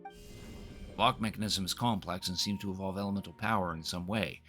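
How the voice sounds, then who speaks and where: Guy with a low forceful voice reading game text on stream, trips over words a bit, music in back. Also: steady tone, normal speed. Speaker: game livestream host. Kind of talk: reading game dialogue aloud and chatting to stream viewers. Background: video game music.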